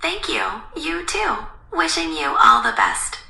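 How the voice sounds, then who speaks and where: A synthetic woman's voice working as an AI assistant, giving formal, polite answers in measured wording. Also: high-pitched, wildly swooping pitch, creaky, sharp tone. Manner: polite, neutral assistant delivery.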